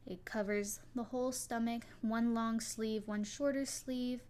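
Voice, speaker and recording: A young woman with a soft, quiet voice, casually talking to her viewers about her character design, rambling a little with fillers and restarts. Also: fairly high pitch, fairly even intonation, unhurried. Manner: rambling, many likes and restarts. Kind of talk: casual solo talk to viewers.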